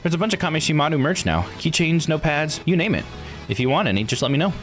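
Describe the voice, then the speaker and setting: A man voicing an excitable, nosy island woman from a visual novel in a gushing, exclamatory manner. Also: a little high, quick pace, soft background music, voice pushed up. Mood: cheerful.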